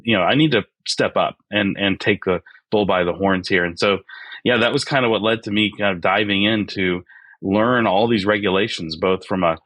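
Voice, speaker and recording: A man's voice: fairly low pitch, moderate pace, a bit bright, no echo. A man in his forties, a podcast guest, talking earnestly with many fillers and restarts. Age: 40s. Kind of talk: reflective interview talk.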